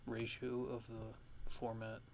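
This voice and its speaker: A man giving a casual software tutorial, thinking aloud in a halting, low-key way with pauses mid-sentence. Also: completely flat delivery. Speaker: music production tutorial host. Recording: clear background noise.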